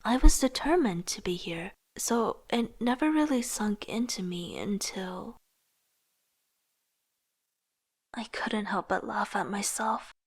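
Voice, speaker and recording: A young woman playing an aspiring artist, narrating her own story in a soft, introspective, wistful way. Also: medium pitch, animated, measured pace, hardly any pauses, breathy edge. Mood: self-doubting. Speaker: narrator and lead character. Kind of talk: reflective first-person narration with dialogue.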